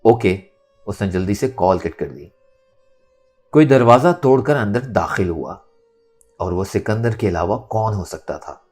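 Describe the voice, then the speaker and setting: A man's voice: lowish voice, lively intonation, measured pace, a little mellow, husky. A man narrating a dramatized Urdu novel expressively, acting out its dialogue. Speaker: audiobook narrator. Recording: music under the voice. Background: background music.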